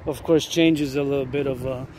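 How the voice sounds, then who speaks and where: A man's voice: medium pitch, fairly even intonation, normal speed. A man delivering a rambling outdoor monologue, insistently repeating words and phrases. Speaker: vlogger. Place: outdoors near a road with vehicles. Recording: loud outdoor traffic noise. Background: passing vehicles, urban ambience.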